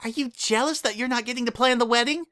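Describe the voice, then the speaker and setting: A man voicing a bubbly, excitable young female pony party planner in a gushing manner. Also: very high voice, fast, dry recording, clean studio sound, pitched above the performer's own voice. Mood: excited, gushing. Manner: gushing, cheerfully dismissive.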